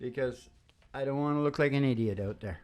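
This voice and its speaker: A man's voice: mid-range voice, brisk, darkish tone. A man hosting a live radio show with rambling, folksy, playful chat, often repeating his phrases. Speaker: radio show host and singer. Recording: guitar music behind the voice. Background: acoustic guitar.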